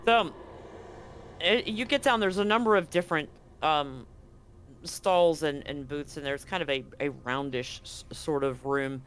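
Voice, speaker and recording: A man narrating as game master, describing scenes in a halting way with frequent ums and restarts. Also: a little high, fairly bright tone, a little hiss or hum.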